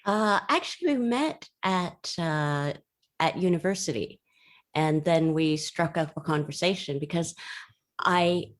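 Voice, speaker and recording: A woman from Canada, a musical's co-creator, answering interview questions in a soft, hesitant way. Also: fairly low pitch, measured pace. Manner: soft-spoken, hesitant, with many fillers.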